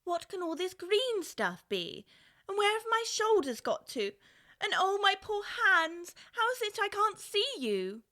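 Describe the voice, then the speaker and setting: A polite, bewildered little girl in an audiobook story. Her voice is high and bright and swings expressively as she earnestly puzzles things out. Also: very animated, a little slow, full character voice. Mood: bewildered, alarmed. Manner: earnest, proper, wondering aloud. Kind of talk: full-cast audiobook acting.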